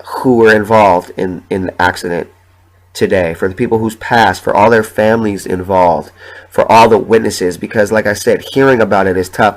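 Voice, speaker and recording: A young man hosting his own podcast while sick with the flu, sniffling and talking in a halting, rambling, emotional way with plenty of swearing. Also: on the low side, low-quality recording. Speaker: podcast host. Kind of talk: solo podcast monologue.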